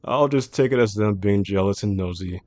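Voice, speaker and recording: Casting: male actor as a composed male chief executive for intimate roleplay audio, low-pitched, measured and formal, protective with a possessive undercurrent. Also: darkish tone, no echo, close to an everyday voice. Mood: caring, insistent. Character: composed, caring male CEO boss, secretly obsessive. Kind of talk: intimate scripted roleplay audio addressed to the listener.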